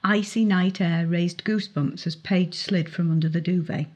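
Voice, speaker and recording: A woman's voice: on the low side, fairly even intonation, moderate pace, a little mellow. A woman reading her young adult novel aloud as dramatic audiobook narration. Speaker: author reading own novel excerpt.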